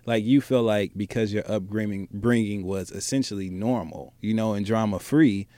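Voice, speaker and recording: An American man with a fairly low voice, talking in a relaxed, conversational style. He is informal and emphatic, and the recording is dry with little room sound. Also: normal speed, no room sound. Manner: informal, emphatic, checks in with "right?". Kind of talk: casual conversational talk.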